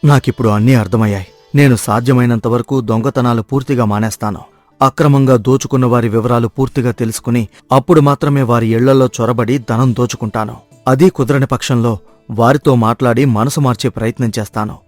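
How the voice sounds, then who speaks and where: A man's voice: medium pitch, steady tone, brisk, darkish tone, dry recording, soft background music, lightly acted. A man voicing a repentant, kind-hearted thief, earnest and remorseful, in a dramatized moral story. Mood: resolute.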